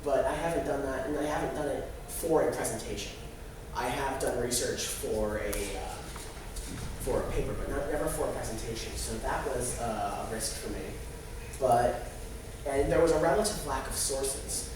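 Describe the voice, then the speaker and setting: A young man giving an informal student presentation in a halting way, with false starts and repeated phrases. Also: medium pitch, far from the mic. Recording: very echoey, noisy room from afar.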